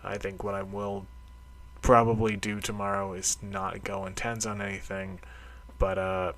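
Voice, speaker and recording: Middle-aged man, lowish voice, casual audio log, reflective, rambling, self-deprecating. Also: little pitch movement, unhurried, fairly bright tone. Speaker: karate practitioner keeping a training log. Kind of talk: casual solo audio diary.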